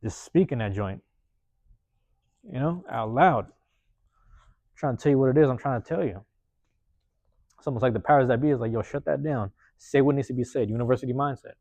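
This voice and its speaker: A man hosting a podcast from a university room, giving a loose, slangy motivational monologue with lots of repetition, his voice getting a bit hoarse. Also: medium pitch, fairly quick, pauses often, dark tone, dry recording. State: voice going hoarse. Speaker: podcast host.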